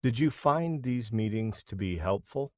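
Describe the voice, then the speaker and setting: A man playing an interviewer in a scripted practice interview for English learners, reading questions clearly. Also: fairly low pitch, expressive, measured pace, darkish tone, dry recording, studio quality.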